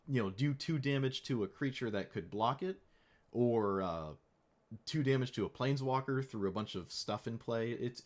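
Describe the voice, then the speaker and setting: A man's voice: mid-range voice, a little mellow. Wry young man talking through a card game. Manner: chatty, wry, playfully mock-dramatic. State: slightly hoarse. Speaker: streamer. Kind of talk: casual gameplay commentary.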